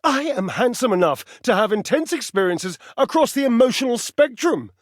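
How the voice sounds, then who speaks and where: An actor playing a vain, athletic talking appliance in a dating game, pompous and petulant, with flamboyant, condescending, wordy delivery. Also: male voice, very high voice, big pitch swings, normal speed, no room sound, clean studio sound. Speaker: video game character actor. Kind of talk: theatrical dating-game character acting.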